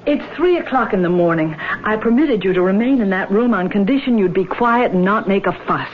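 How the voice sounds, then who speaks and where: A woman's voice: medium pitch, expressive, fairly quick, lightly acted. A woman acting an exasperated lodge keeper in a radio play, fussy and full of complaint. The sound is tinny and narrow, with some noise. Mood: scolding, exasperated. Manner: complaining, indignant. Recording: noisy, tinny old radio broadcast.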